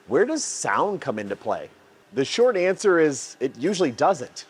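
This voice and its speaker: A man's voice: a little high, a little hiss or hum. A man hosting a technical explainer as a custom exhaust builder, speaking in articulate, emphatic phrases like a prepared narration. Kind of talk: semi-scripted explainer narration on car engineering.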